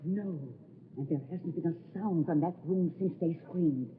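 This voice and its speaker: A woman's voice: fairly low pitch, measured pace, lightly acted. An actress playing a sour, scornful female accomplice in an old radio drama. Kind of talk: 1940s radio acting. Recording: tinny, hissy old radio recording.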